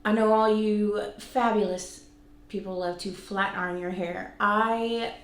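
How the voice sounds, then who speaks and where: A woman's voice: medium pitch, steady tone, a little slow. A woman of about forty chatting casually and informally to viewers as a vlog host reviewing products. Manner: informal, conversational, self-deprecating asides. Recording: echoey room, faint background noise.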